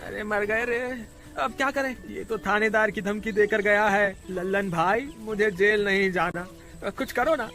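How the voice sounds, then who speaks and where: A man voicing a cowardly, scheming village thief in an animated moral story, whiny and wheedling. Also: high voice, unhurried, full character voice. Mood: panicked.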